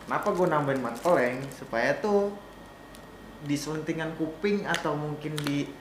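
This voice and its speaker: A man's voice: on the high side, normal speed. Young man telling a story, Jakarta accent. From Brebes, Indonesia. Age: young adult. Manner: relaxed storytelling, joking. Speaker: guest. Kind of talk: casual chat interview. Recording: echoey room with loud noise.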